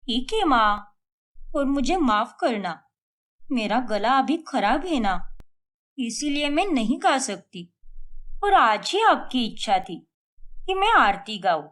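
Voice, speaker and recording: A woman's voice: a little high, big pitch swings, takes it slowly, pauses often, fairly bright tone, full character voice. A woman voicing a young attention-seeking sister-in-law in a cartoon story, whiny and wounded. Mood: sweet.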